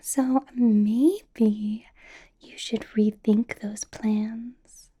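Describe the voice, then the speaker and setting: A woman performs a female vampire boss in an ASMR roleplay, whispering in a breathy, hushed voice. She speaks slowly and deliberately, doting on the listener with possessive sweetness. A controlling menace sits under the soft, mellow tone. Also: fairly high pitch, full character voice. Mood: controlling, sweetly menacing. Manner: breathy, slow whispering with soft little laughs.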